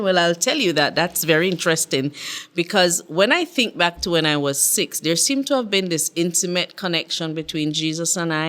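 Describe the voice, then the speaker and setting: A woman's voice: deep voice, a bit bright. A middle-aged woman who grew up in Jamaica, telling her life story earnestly as a podcast guest. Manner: earnest, reflective. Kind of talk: casual interview storytelling.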